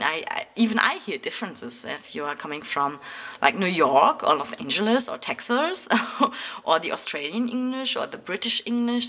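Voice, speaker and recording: A woman's voice: mid-range voice, pushed and bright. A woman with a German accent from Berlin, a native German speaker, rambling in casual English with many fillers and restarts to her planner channel's viewers. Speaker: planner vlogger. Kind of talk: casual talk to camera. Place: indoors at a table, overhead camera on hands.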